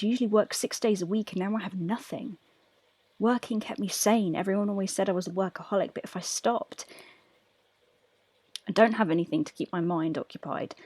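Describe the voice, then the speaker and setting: Woman played by an actress, flat and halting lockdown confessional, restless and guilty, close dry sound. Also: mid-range voice, dry recording, lightly acted. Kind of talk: acted confessional diary monologue.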